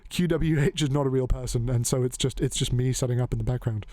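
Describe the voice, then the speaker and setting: A male host who rambles along, joking and dropping a curse here and there. His voice sits at an ordinary middle pitch. The sound is dry and close, with no room echo. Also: fast, mellow. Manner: rambling, joking, loose, swears casually. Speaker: podcast host. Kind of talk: casual chat.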